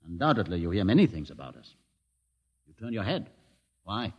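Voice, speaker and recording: A husky, courteous mutant leader, dull vintage sound. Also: male voice, deep voice, sing-song, exaggerated voice. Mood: dry, firm. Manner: formal, measured, politely condescending. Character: calm, erudite mutant leader, courteous and sardonic. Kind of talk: 1950s radio drama acting. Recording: dull vintage radio recording.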